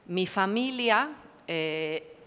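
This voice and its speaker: A woman's voice: mid-range voice, expressive, very slow, fairly bright tone, clear, resonant tone. A woman psychotherapist giving a conference lecture, explaining thoughtfully and posing rhetorical questions to the audience. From Mexico. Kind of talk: academic conference talk. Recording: long hall reverb.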